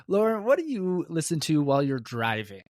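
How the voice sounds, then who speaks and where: A podcast host asking questions in a relaxed, joking way. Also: medium pitch, normal speed, dry recording, clean studio sound. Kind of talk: casual interview chat.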